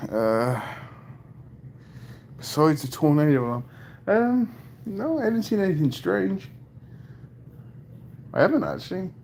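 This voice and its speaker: A man's voice: a little high, very animated, takes it slowly, gravelly, long pauses, darkish tone, a little hiss or hum. A British man in his forties hosting a livestream, casually chatting and joking as he reads viewer comments aloud and answers them. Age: 40s. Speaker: livestream host. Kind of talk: casual live chat reading viewer comments.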